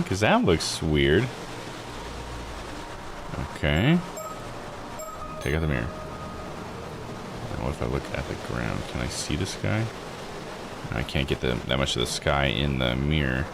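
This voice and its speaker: A man's voice: a bass voice, sing-song, measured pace, long pauses, background noise. A man doing casual let's play commentary as a game streamer, thinking aloud in folksy, slangy phrases. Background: game music.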